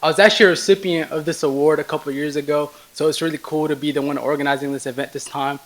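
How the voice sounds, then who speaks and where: Young male student organizer, fast talker, slightly high voice, casual event welcome. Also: fairly even intonation. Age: early 20s.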